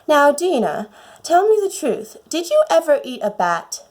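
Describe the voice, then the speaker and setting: A woman's voice: high-pitched, sing-song, a little slow, darkish tone, pitched above the performer's own voice. A woman voicing a curious, polite little storybook girl who muses to herself in a put-on British accent. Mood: earnest. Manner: musing, talking to herself.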